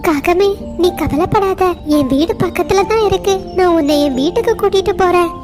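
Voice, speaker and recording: A woman's voice: very high voice, moderate pace, over music. A woman voicing a tender mother sparrow. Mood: reassuring. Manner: soft, tender, reassuring. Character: gentle mother sparrow, loving and hardworking.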